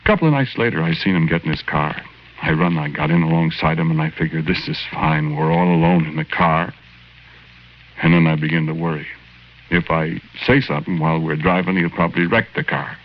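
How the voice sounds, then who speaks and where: Low-voiced, hapless working-class American ghost. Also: male voice, fairly quick, slight background noise. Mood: rueful, matter-of-fact. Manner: folksy, ungrammatical, hesitant, plain-spoken. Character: hapless, lovelorn working-class ghost telling his own story. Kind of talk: 1940s radio drama narration. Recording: muffled 1940s radio recording, faint music.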